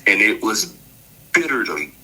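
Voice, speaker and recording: A man in his sixties, a podcast guest, recounting his childhood in a measured, reflective storytelling manner. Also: lowish voice, big pitch swings, over a noisy crowd. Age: 60s. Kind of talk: personal storytelling interview.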